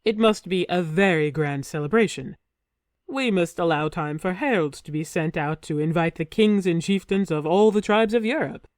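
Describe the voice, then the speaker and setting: A narrator voicing a scheming young king, smooth and persuasive. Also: mid-range voice, moderate pace, no echo, studio quality, natural voice. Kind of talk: audiobook character voice.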